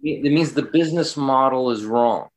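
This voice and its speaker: A man speaking as an interview guest, giving earnest, deliberate and emphatic answers in a reflective way. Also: mid-range voice.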